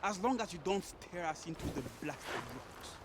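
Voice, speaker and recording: High-pitched grumbling pirate sailor. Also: male voice, lively intonation, moderate pace. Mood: grumbling, sceptical. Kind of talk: video game character dialogue. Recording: game audio with sea and boat noise.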